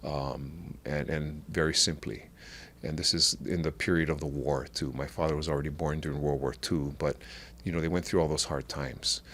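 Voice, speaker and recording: A middle-aged man from Oahu with a Hawaiian accent, talking as a podcast guest in a halting way with many restarts. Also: very deep voice, steady tone, fairly bright tone, slight background noise. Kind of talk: casual conversational interview.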